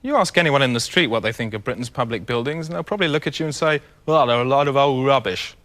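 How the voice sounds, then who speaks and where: A man's voice: medium pitch, fast talker, a bit bright, slight background noise, lightly acted. A man playing a brash, self-important TV news anchor in a spoof bulletin. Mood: brisk, self-important. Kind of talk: news presenting parody.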